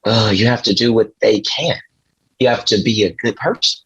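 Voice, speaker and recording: A man playing an awkward, earnest driver in a screenplay table read, speaking in a halting, gentle, explanatory way. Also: on the low side, slightly rough, full character voice. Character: awkward, earnest male driver Chaz, kindly conspiracy believer. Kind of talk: table read of a film script. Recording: dull, slightly muffled audio.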